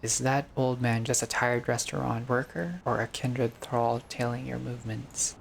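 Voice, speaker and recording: Creaky-voiced gamer reading a story aloud. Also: medium pitch, fairly even intonation, normal speed, a little hiss or hum. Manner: breaks off into joking, profane asides. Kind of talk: casual let's-play narration, reading aloud with asides.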